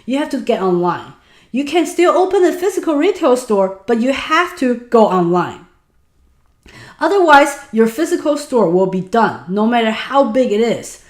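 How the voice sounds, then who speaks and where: Lively woman with a Taiwanese Mandarin accent. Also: on the high side, normal speed, reverberant. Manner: animated, earnest explaining, asks audience to type in chat. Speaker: webinar presenter. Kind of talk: online business webinar talk.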